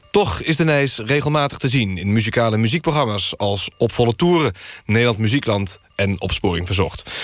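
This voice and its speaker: Deadpan male newsreader, very dry studio sound. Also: medium pitch, no echo. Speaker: radio news presenter. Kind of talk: scripted mock news reading.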